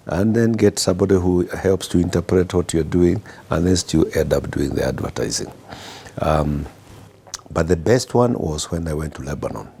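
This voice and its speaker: A middle-aged man from Kenya with a Kenyan accent, guest on an interview podcast, telling anecdotes slowly with many fillers and restarts. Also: deep voice, warm tone, breathy edge. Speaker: guest executive.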